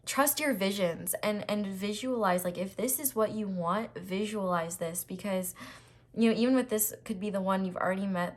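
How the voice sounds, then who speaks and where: A woman with a slightly raspy voice doing a casual, reassuring tarot reading to camera, with frequent ums and restarts. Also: medium pitch. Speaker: tarot reader.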